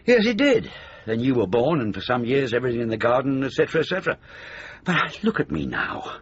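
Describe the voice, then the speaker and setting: Husky ageing British writer, rueful. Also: male voice, medium pitch, big pitch swings, a little fast, muffled recording. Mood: wry, rueful. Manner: wry, self-pitying, wordy. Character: ageing jaded male comedy writer, rueful and indignant. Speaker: actor. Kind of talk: radio comedy drama acting.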